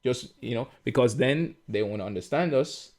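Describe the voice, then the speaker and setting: A man's voice: mid-range voice, animated, moderate pace, a little mellow. A young Jamaican man co-hosting a podcast, chatting casually and explaining things with everyday examples. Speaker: podcast co-host. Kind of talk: casual chat.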